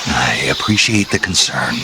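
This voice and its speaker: Low, laconic soldier, loud noise behind. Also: male voice, expressive, normal speed, creaky, full character voice. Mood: deadpan banter. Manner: laconic, dry, teasing. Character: gruff, wry male soldier on a secret mission. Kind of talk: video game voice acting, scripted radio conversation.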